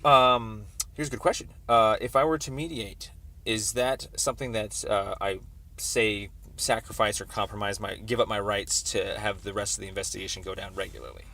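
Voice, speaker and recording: A man on a recorded phone call explaining a complaint as a caller, in a halting, wordy, roundabout way. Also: lowish voice, fairly even intonation, pushed and bright. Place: telephone call.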